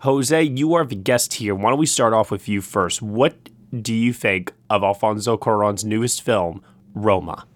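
A man's voice: on the low side, unhurried, no room sound. Male podcast host, dry close-miked sound. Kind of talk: film review discussion.

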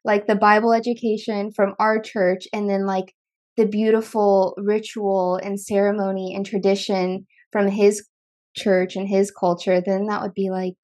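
A woman's voice: medium pitch, level tone, unhurried, a little mellow. A woman hosting a podcast, talking casually with lots of "like" and filler words. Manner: chatty, filler-heavy, frequent "like". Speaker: podcast host. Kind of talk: casual conversation.

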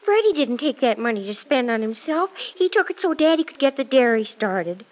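A woman's voice: on the high side, sing-song, moderate pace, darkish tone, poor audio. A woman in an old-time radio drama playing a naive, curious teenage girl with a gushing, wide-eyed manner. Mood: worried, guilty.

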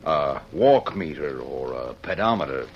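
A man's voice: very deep voice, lively intonation, unhurried, slightly rough, heavily acted. A man with an American accent playing a polite, formal businessman in an old radio drama, on a muffled, noisy recording. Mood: courteous, inquiring. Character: courteous, formal, well-dressed male businessman visitor.

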